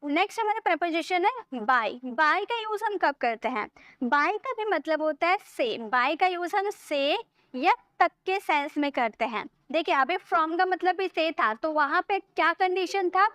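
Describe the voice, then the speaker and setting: A high-pitched woman gives an online grammar lesson, and her voice sits close and dry with no room around it. She repeats each point, keeps asking whether her students have understood, and slows right down to dictate English example sentences. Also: animated, fairly bright tone, no echo. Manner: repetitive, checks understanding with frequent questions.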